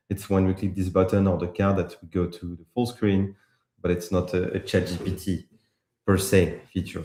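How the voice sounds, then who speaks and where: A man from France with a French accent, casually walking through a live software demo, with many pauses and restarts. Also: lowish voice, echoey room. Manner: casual, hesitant with frequent uh and restarts. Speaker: presenter demoing apps. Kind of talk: live tech demo walkthrough.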